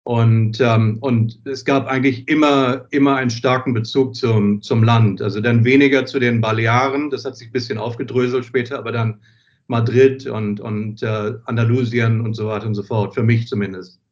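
A man from England who grew up in Germany, answering interview questions conversationally and pausing and repeating words as he thinks. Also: mid-range voice, steady tone, lo-fi sound. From born in England, grew up in Wiesbaden, Germany. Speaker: podcast guest.

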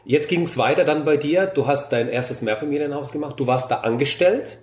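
A man's voice: on the high side, brisk, a little mellow. A man hosting a podcast, asking questions with many hesitations. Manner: asks questions, hesitant with fillers. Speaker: podcast host. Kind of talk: podcast interview. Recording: roomy echo.

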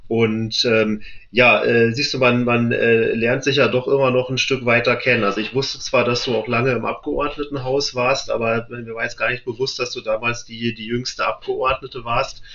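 Slightly muffled, halting male interviewer. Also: mid-range voice, little pitch movement, a bit bright. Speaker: interview host. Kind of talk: casual, conversational interviewing. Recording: slightly dull, lo-fi sound.

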